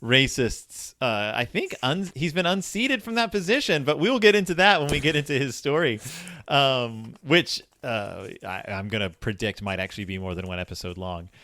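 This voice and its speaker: A man co-hosting a casual book discussion podcast, talking in a halting, rambling way that often interrupts itself. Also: mid-range voice, animated, bright, forceful tone, no room sound. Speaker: podcast co-host.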